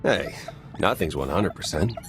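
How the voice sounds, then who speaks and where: Excitable game gunner, middle pitch, highly animated, flippant, informal, blaring score. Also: male voice, a little slow, over music. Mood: breezy, confident. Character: excitable, flippant male gunner and tech whiz. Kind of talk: video game action acting.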